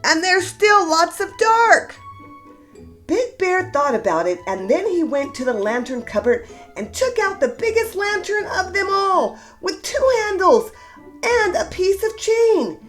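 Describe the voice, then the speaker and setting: A woman reading a children's storybook aloud with deliberate, expressive storytelling emphasis. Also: very high-pitched, soft background music. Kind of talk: expressive read-aloud narration for young children.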